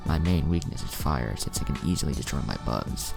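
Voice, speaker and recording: A man's voice: rumbling low, animated, a little fast, gravelly, over music, full character voice. A man voicing a stoic, logical young male ninja who speaks formally in an anime story.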